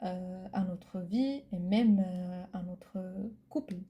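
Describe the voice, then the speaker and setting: A woman whose first language is Arabic, co-hosting a casual podcast and often pausing to search for words. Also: fairly low pitch, muffled recording. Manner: hesitant, searching for words, many fillers. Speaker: podcast co-host. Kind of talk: casual chat.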